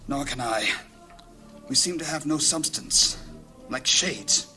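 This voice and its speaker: An actor playing a solemn, commanding lord in a fantasy audio drama, formal and portentous in delivery. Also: male voice, medium pitch, expressive, slow, pushed and bright, soft background music, exaggerated voice. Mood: solemn wonder. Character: solemn, commanding lord, observant ghostly time traveller.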